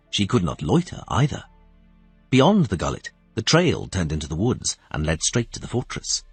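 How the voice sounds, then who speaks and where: A man narrating a fantasy audiobook as scripted storytelling, with expressive, dramatic phrasing. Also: lowish voice, slightly rough, no room sound.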